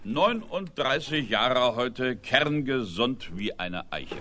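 Slow, brooding ageing man. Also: mid-range voice, sing-song, heavy background noise. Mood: self-satisfied. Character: ageing man bitterly mocking his recorded younger self. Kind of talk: theatrical radio play monologue.

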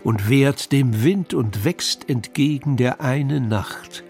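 A man reciting poems in a measured, expressive way for a children's radio program, recorded on a studio microphone. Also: medium pitch, faint music behind. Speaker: poetry reader.

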